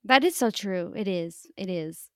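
Woman, podcast host, mid pitch, supportive, affirming. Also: lively intonation, measured pace. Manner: warm, supportive listener with frequent affirmations. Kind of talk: casual podcast interview.

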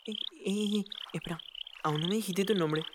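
A woman playing a timid, excitable, kind ten-year-old boy with a Paraguayan accent and hesitant speech in an audio drama. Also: mid-range voice, moderate pace, noisy background, close to an everyday voice. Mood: tentative, wondering. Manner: hesitant, stammering starts, expressive.

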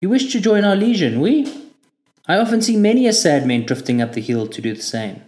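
A man puts on a chatty, chain-smoking Frenchman with a thick French accent, who muses about life and dreams. The voice sits higher and louder than the narrator's own, animated and wistful. It rings with a roomy echo. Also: fairly quick. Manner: musing, philosophical, animated.

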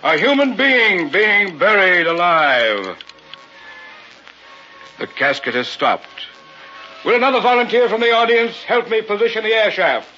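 A man's voice: high voice, animated, unhurried, pauses often, pushed and bright, exaggerated voice. An actor playing a pompous, evasive carnival showman and hypnotist in a radio horror drama. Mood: grand, showy. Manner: grandiose barker patter, curt when challenged. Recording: old muffled radio recording with music.

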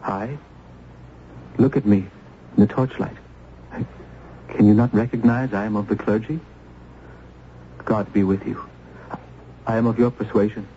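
A man's voice: fairly low pitch, steady tone, measured pace, pauses often, close to an everyday voice. An actor playing a compassionate, earnest young clergyman of colonial times, formal and theatrical in a radio drama. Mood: tender, compassionate. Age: young adult. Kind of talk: old-time radio acting. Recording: dull, hissy old radio recording.

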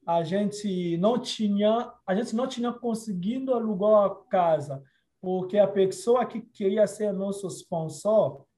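Congolese guy, not native, telling his story earnestly, highish soft voice. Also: a little slow. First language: not Portuguese. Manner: earnest storytelling with frequent restarts and soft laughs. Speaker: interviewee. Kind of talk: personal life story in an interview.

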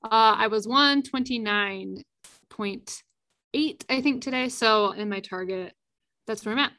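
A woman's voice: on the high side, unhurried, sharp tone. A woman in her thirties co-hosting a casual faith podcast, playful and teasing, laughing often. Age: 30s. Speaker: podcast co-host. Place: in a room with the host, in person.

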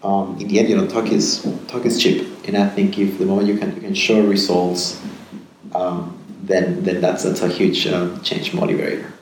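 Podcast guest, man in his 30s from Peru; deep voice, reflective and repetitive delivery; echoey room with faint hum. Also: level tone, moderate pace. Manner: thoughtful, repeats words and tags sentences with "right?". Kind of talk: conversational interview answers.

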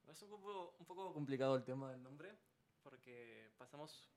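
A man's voice: medium pitch, lively intonation, normal speed, a little mellow, slight background noise. A man from Argentina, a band musician, telling a story casually in a radio interview. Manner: storytelling with laughing asides. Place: radio studio.

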